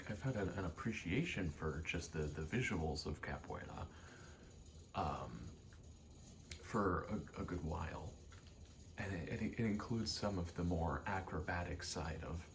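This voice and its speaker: A soft-spoken man rambling casually in ASMR style, hesitant and pausing often between short phrases. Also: low voice, slight background noise. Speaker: ASMR vlogger and aspiring actor.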